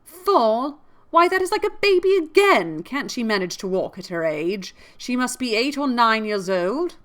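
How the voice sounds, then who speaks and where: A woman voicing a kindly but blunt apothecary man, speaking in loud, emphatic questions in a storybook reading. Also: on the high side, sing-song, measured pace, voice pushed up. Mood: brisk.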